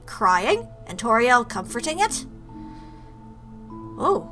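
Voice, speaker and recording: Bright, lively woman reading a game aloud. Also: a little high, slow pace, soft background music. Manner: reacts aloud while reading, with asides. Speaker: gaming video host. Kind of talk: let's play commentary, reading game text aloud.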